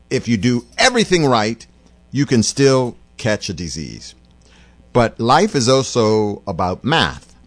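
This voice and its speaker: A middle-aged man hosting a radio call-in show, listening warmly to callers and then reflecting at length. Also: medium pitch, dry recording. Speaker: radio talk host.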